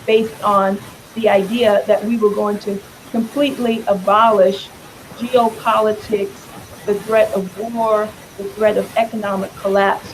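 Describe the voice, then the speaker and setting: Raspy-voiced woman giving a campaign speech. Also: medium pitch, background noise. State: hoarse. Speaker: congressional candidate.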